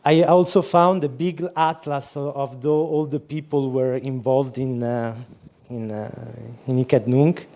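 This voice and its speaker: A man talks through his project in a relaxed online chat. His voice is mellow and dark, and his pitch stays even and flat as he explains at length. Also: measured pace, few pauses. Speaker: project organizer. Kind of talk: casual chat. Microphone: remote call audio.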